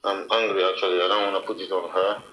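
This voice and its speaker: Caller, male, 30s; defensive and worked up, sharp voice over a roomy line. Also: low-pitched, flat delivery, fast talker. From France. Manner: agitated, defensive, filler-heavy. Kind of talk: heated call-in debate. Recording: roomy call audio, faint noise.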